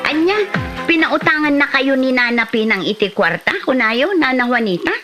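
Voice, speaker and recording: A woman plays a keen, friendly neighbour asking questions in a comedy drama. Her voice is a little high and has an Ilocano Filipino accent. Soft music sits behind the dialogue. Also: lively intonation, normal speed. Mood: curious.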